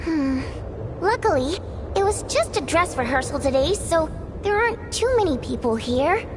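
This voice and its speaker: Young game heroine, very high pitch, animated, sharp-tongued theater star, noisy ambience. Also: female voice, normal speed. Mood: upbeat, reassuring. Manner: expressive, exclamatory. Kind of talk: video game voice acting.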